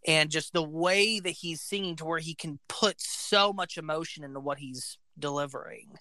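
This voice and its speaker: High-voiced man, bright and dry, eager podcast host reeling off band facts. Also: normal speed, no echo. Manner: enthusiastic, fact-filled, says "like" often. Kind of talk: casual music chat.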